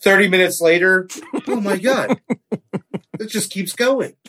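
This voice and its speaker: A man's voice: sits high, unhurried, fairly bright tone. A man riffing casually on a comedy podcast, joking and swearing as he builds absurd scenarios.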